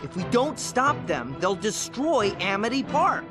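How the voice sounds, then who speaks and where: Animated young cartoon adventurer. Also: female voice, on the high side, a little slow. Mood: determined. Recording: game audio under loud music.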